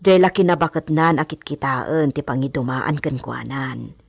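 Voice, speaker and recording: A woman's voice: very deep voice, normal speed, vocal fry, breathy edge, no echo, clean studio sound, heavily acted. A woman playing a frank, fussy older-sister household servant in a radio drama, with a blunt, gossipy way of talking. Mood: concerned, scolding. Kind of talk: Ilocano radio drama acting.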